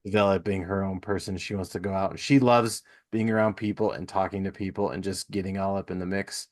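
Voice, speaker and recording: Male podcast host, middle-aged, low-pitched voice, loose conversational delivery, slangy and self-deprecating. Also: steady tone, brisk. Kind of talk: casual chat.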